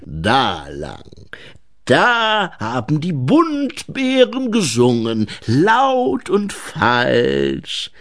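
Sly, theatrical gnome, slow and swooping. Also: male voice, medium pitch, huge pitch swings, a bit bright, heavily acted. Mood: sly, bargaining. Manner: theatrical, wheedling, drawn-out delivery. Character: sly, theatrical, easily offended male forest gnome troll.

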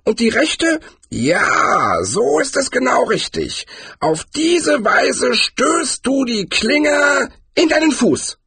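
Husky, high-pitched old barbarian. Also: male voice, big pitch swings, pushed and bright, no room sound, exaggerated voice. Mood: mockingly helpful. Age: older. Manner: slow, drawn-out, condescendingly kindly. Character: greise, spry old barbarian hero, calm and mockingly friendly.

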